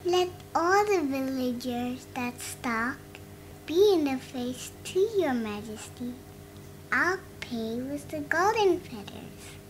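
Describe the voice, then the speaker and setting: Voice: sits high, expressive, slow. A young performer playing a male villager in a children's story, emphatic and childlike, with slight noise. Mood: kind, delighted. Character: young male villagers, woodcutter, farmer and sawyer.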